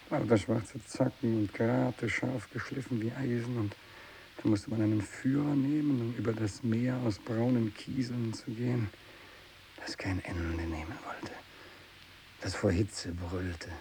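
An actor playing a tormented, bitter, fanatical renegade missionary in a radio drama monologue, brooding and intense, moving between hushed speech and anguished outbursts. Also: male voice, on the low side, creaky, rough recording. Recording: steady tape hiss.

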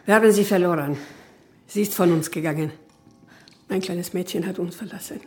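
Actress as low-voiced motherly older matron. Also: a little fast, close to an everyday voice. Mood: subdued sorrow. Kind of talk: German radio drama acting.

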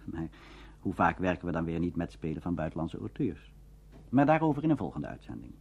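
A man's voice: deep voice, mellow, breathy edge. A man with a Netherlands Dutch accent presenting a scripted radio documentary, on a dull recording.

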